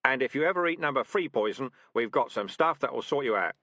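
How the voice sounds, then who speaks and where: A man's voice: mid-range voice, animated, fast, pushed and bright, dry recording, voice pushed up. A man voicing a cocky veteran male rat, a trap expert with a dry, teasing way of talking. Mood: instructive.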